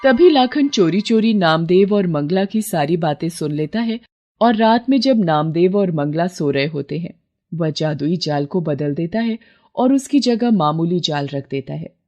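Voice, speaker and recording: A woman narrating a cartoon story in a plain, steady storytelling manner. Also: on the low side, moderate pace.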